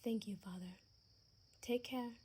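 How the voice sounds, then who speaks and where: Woman as a slow, soft young vampire daughter. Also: a little high, a little hiss or hum, lightly acted. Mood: gentle, resigned. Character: young vampire daughter, sheltered, gentle, pleading. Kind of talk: ASMR audio roleplay.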